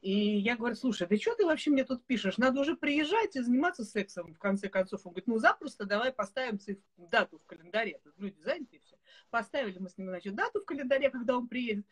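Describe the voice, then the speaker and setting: A woman in her forties chats over a video call from a room at home. Her voice sits a little high, and she tells her stories with energy and easy laughter. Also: fast, husky. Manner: lively storyteller, animated and laughing. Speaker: host. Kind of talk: casual video call chat. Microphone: video call webcam.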